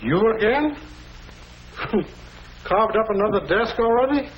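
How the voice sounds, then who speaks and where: An actor playing a wry, teasing school janitor in a 1940s educational film. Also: male voice, high voice, animated, a little slow. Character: teasing, gruffly joking male school janitor. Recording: noisy old 1940s film soundtrack.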